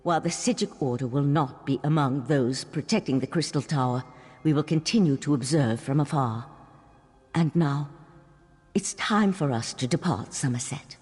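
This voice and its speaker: An actress playing a solemn, dutiful mage of a secretive order in a fantasy game, earnest and measured. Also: female voice, low-pitched, a little mellow, husky. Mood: grateful, confiding. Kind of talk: fantasy video game voice acting. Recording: long hall reverb, music behind.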